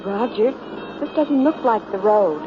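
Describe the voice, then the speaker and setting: An actress playing an anxious, affectionate new bride in an early 1960s radio drama, worried and pleading, on a muffled vintage recording. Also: female voice, medium pitch, lively intonation, unhurried, noisy background, natural voice.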